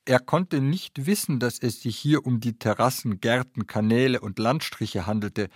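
Man, medium pitch, close dry sound. Measured, factual narration of literary text. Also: no room sound.

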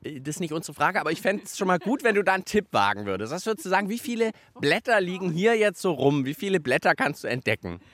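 A man's voice: high-pitched, very animated, fast, few pauses, fairly bright tone. A man hosting a children's radio show outdoors in a park, playful and enthusiastic. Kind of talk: lively kids' show presenting.

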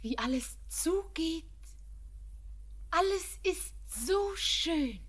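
A young actress playing a tender, happy bride-to-be in a classic radio play, speaking softly and lyrically. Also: female voice, very high voice, animated, very slow, heavily acted.

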